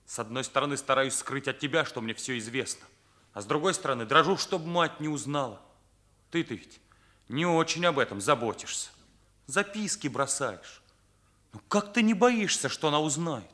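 An earnest teenage son, bright forceful voice. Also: male voice, medium pitch, animated, moderate pace. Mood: bitter, accusing. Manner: accusing, emphatic, morally rigid. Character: earnest, indignant teenage son confronting his parents. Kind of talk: Soviet radio play acting.

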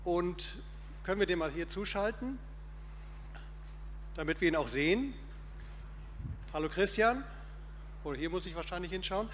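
A man moderating a conference panel discussion in a brisk, informal way. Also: sits high, lively intonation, fairly bright tone. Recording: reverberant PA sound with faint noise.

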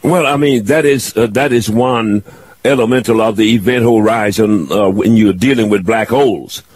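A husky man in his sixties hosts a call-in radio show. He rambles and halts, with lots of fillers, and his voice sounds close and dry with no room around it. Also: lowish voice, darkish tone, no echo. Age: 60s. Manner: rambling, halting, many fillers. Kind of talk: casual call-in chat.

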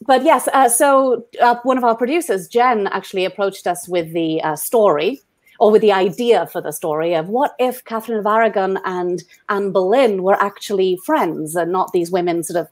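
A Welsh woman in her forties, chatting on a video call from home with earbuds, self-deprecating and quick to laugh. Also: mid-range voice. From Wales. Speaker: guest playwright. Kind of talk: casual video-call chat. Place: home room.

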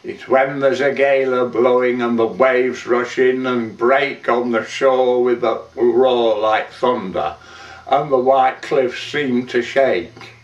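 Man performing old lifeboatman, unhurried verse monologue, plain-spoken, sorrowful, dull roomy sound. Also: mid-range voice, lightly acted. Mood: hardy, grave. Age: older. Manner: measured, folksy storytelling with rustic dialect. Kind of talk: dramatic verse monologue recitation. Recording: roomy, dull, distant microphone.

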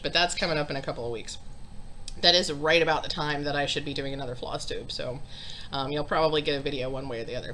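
A woman's voice: low-pitched, sharp tone, a little hiss or hum. A woman in her thirties chatting casually to a webcam at home about her stitching, rambling with lots of ums, sounding congested. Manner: rambling, chatty, frequent ums and self-deprecating laughs. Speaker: cross stitch vlogger. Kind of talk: casual chat to camera. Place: home craft room, indoors.

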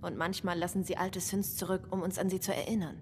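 A woman's voice: on the low side, flat delivery, quick pace, darkish tone, a little hiss or hum, natural voice. Woman playing a sassy reporter, slightly echoing room. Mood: intent. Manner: sardonic, punchy, inquisitive. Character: sassy, sharp-tongued young female newspaper reporter. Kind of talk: video game dialogue.

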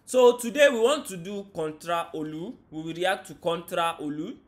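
A young man from Nigeria hosting a casual reaction chat on a living-room sofa, with loud energetic greetings. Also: high voice, moderate pace. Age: young adult. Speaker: host.

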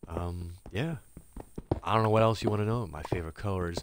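A man's voice: sits low, fairly quick, background noise. A man with a stuffy nose giving upbeat, rambling commentary as he plays a game and talks to his viewers. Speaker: gaming streamer. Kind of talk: casual live gameplay commentary. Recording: game music playing loudly behind. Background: game music.

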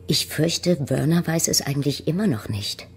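An actress playing a prim, regretful middle-aged mother in a radio drama, measured and rueful, with a husky, creaky voice. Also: deep voice, lively intonation, a little mellow, a little hiss or hum. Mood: polite, guarded. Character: regretful, proper older mother of a married daughter. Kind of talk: German radio drama acting.

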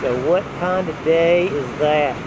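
A man narrating his motorcycle ride from a helmet mic in a relaxed, unhurried way, with wind and engine noise. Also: on the high side. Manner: relaxed, unhurried, talks while riding. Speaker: motovlogger. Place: on a motorcycle outdoors. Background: road and wind noise.

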